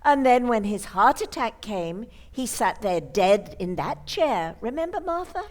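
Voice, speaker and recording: A woman's voice: on the high side, very animated, measured pace. A woman playing a sweet elderly spinster aunt in an old-time radio comedy, genteel and matter-of-fact as she chides. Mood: sweetly matter-of-fact.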